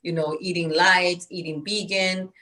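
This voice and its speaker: A woman's voice: lowish voice, a little slow. A woman from Peru, a guest telling her story in an earnest, spiritual, conversational way. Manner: earnest, spiritual, animated, acts out quoted voices.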